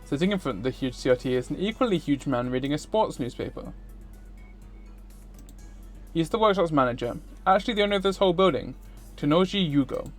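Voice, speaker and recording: Male game streamer, slightly high voice, scripted first-person narration with faint music behind. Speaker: streamer reading a visual novel aloud. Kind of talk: scripted narration read aloud.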